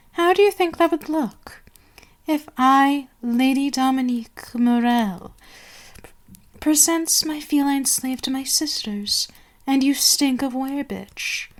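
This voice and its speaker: A woman playing an imperious, seductive vampire queen in an ASMR roleplay, haughty and menacing, drifting into breathy whispers. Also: fairly high pitch. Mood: haughty, disgusted.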